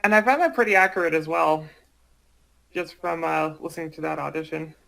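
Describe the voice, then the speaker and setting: A man in casual chat who speaks haltingly, hesitating and repeating himself as he goes. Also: a little high, creaky, pushed and bright, low-quality recording. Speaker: amateur voice project creator.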